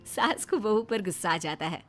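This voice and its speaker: A woman reading scripted narration for a cartoon story, with music clearly behind her. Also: mid-range voice, animated, moderate pace.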